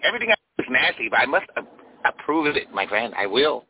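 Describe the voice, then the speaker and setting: A man's voice: mid-range voice, sing-song, quick pace, a little hiss or hum. A man putting on a Mexican accent as a boastful caller in disguise who keeps saying "my friend.". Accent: put-on Mexican. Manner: repeats "my friend", playful bragging. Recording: thin phone line.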